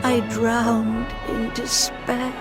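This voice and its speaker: A woman's voice: a little high, flat delivery, drawn-out words. A woman playing an elderly village mother in a video game, solemn and formal, in short verse-like phrases. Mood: solemn gratitude. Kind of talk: video game cutscene acting. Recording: loud music behind.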